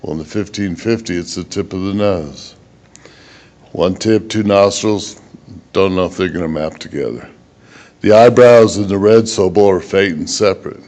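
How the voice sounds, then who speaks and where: A man with a very deep voice speaks as a researcher at a conference. His delivery is plain-spoken and halting as he explains technical work. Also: a little mellow. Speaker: research presenter. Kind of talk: conference presentation, then answering questions.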